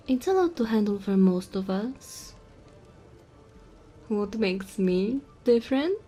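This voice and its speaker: A woman's voice: on the high side, mellow. A woman playing a small, cheeky goblin bartender in a fantasy audio roleplay, teasing and chatty with the customer. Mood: chatty, candid. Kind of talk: fantasy audio roleplay, addressing the listener softly.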